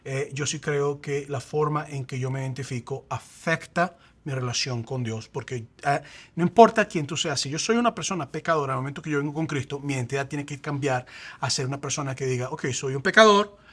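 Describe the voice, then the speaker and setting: A man, a pastor, explaining faith and identity in a lively conversational way, acting out quoted examples. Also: medium pitch, brisk, husky.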